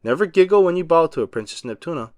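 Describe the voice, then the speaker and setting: A man voices a snobbish cartoon squid in a storybook, gloomy and sniffing, quick to scold. The delivery swings between flat mutters and sharp outbursts in a dry, close sound. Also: a little high, no echo, voice pushed up, lightly acted. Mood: grumpy. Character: grumpy snobbish adult male squid.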